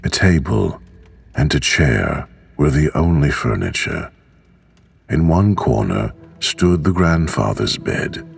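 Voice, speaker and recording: Narrator, man: slow soothing bedtime reader, lively intonation, faint music bed. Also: extremely low pitch, gravelly, husky. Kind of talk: bedtime story reading. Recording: soft music underneath.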